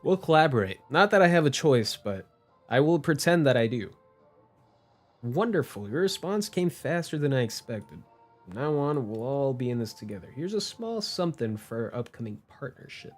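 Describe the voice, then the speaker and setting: A man's voice: mid-range voice, sing-song, moderate pace. A young man doing casual, slangy live game commentary in a playful, joking manner. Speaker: game streamer. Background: game background music.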